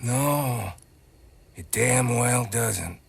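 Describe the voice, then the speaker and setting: An actor playing a scheming, mocking outlaw villain in a western video game, with an American accent. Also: male voice, mid-range voice, expressive, very slow, creaky, heavily acted. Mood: defensive, insistent. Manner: mocking, taunting, insistent. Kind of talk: dramatic video game cutscene acting.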